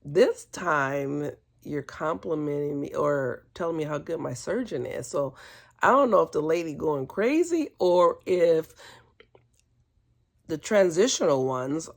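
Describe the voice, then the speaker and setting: A woman telling a personal story in a casual, candid and chatty way, often amused and hesitant as she picks her words. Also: sits low. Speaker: vlogger telling personal stories.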